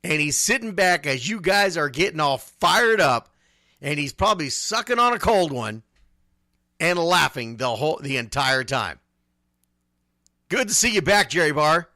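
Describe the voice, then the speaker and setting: A man's voice: fairly high pitch, very animated, moderate pace, pushed and bright, no room sound, clean studio sound. A man hosting a casual sports talk radio show, speaking emphatically and repeating phrases to drive points home.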